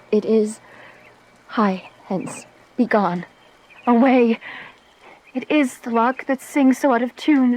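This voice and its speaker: A woman's voice: on the high side, a little mellow, slight background noise, rough recording. An actress playing a passionate, anguished young noblewoman in a Shakespearean radio drama, speaking verse with fervent, dramatic feeling. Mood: urgent, longing.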